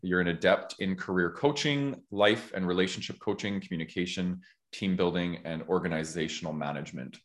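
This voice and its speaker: A man in his thirties hosting a podcast, talking in a reflective, conversational way with an earnest, measured manner. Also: deep voice. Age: 30s. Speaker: podcast host.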